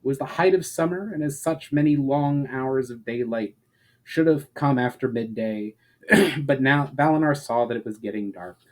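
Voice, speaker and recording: A man reading fantasy fiction aloud as a dramatic narrator, deliberate, with emphatic phrase openings that fall away at the ends. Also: medium pitch, a little hiss or hum. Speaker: author reading own story aloud.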